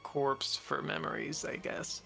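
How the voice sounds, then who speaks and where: A man with a bright, forward voice talks casually through a tabletop game. He sounds hesitant, often working out his move aloud, with faint music underneath. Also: fairly high pitch, unhurried, gravelly. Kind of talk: casual chat during an online tabletop role-playing game.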